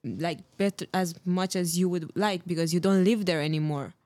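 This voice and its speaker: A woman's voice: on the low side, moderate pace, darkish tone, no room sound. A woman in her late teens chatting casually and talking freely, with lots of fillers. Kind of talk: casual group chat.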